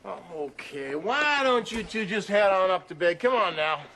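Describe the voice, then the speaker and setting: A man's voice: sits high, animated, moderate pace, bright, forceful tone, slight background noise, heavily acted. An actor playing a protective, exasperated father in a film drama, firm and plain-spoken. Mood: reassuring.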